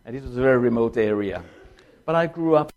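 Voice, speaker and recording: An older man with a Danish accent, a guest speaker telling his testimony in a conversational sermon and slowing down to read scripture. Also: medium pitch, expressive, a little mellow, highs cut off. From born in China to Danish parents, raised in Tanzania.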